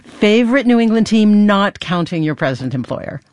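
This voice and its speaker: An American woman works as a public radio host, reading scripted links and putting questions to a guest. Her pitch moves in an animated way around a middle register. The sound is dry and close, as in a studio. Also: normal speed, no room sound. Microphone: close studio microphone.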